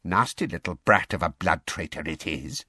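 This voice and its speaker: An aged house elf from a fantasy storybook. He mutters in a hoarse croak that slides up and down. He is servile and spiteful, full of self-pity, and speaks of himself in the third person. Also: low-pitched, lively intonation, moderate pace, pushed and bright, dry recording, studio quality, full character voice.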